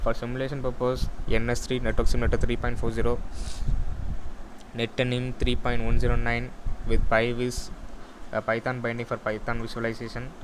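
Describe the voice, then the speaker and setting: A man with a medium-pitched voice presents a technical project in an unscripted walkthrough. He explains haltingly, often restarting and repeating phrases. A faint layer of background noise sits behind his voice. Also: monotone. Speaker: student or developer presenting a project.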